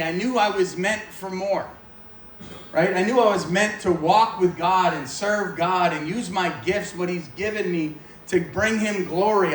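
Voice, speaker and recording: An echoey, slightly distant recording of an American man in his forties preaching his own story. His voice sits a little high for a man. He talks informally and pokes fun at himself as he goes, with faint noise underneath. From Maine, United States. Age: 40s. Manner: informal, self-deprecating, joking. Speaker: guest speaker giving testimony at church. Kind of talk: personal testimony preached to a live audience. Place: large echoey indoor room, speaking to a gathering.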